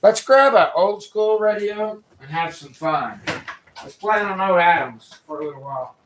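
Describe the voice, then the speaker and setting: A man's voice: high-pitched, animated, normal speed. A man chatting casually to viewers as he hosts a hobbyist radio livestream. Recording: clearly noisy live stream with clicks.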